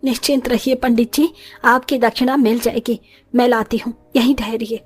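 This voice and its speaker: An actress plays a middle-aged Indian mother-in-law in a family cartoon. Her voice is somewhat high, and she talks in grumbles and exclamations like a put-upon matriarch. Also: fairly even intonation, normal speed, faint music behind, natural voice. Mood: placating. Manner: muttering complaints, exclaiming. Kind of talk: moral story cartoon acting.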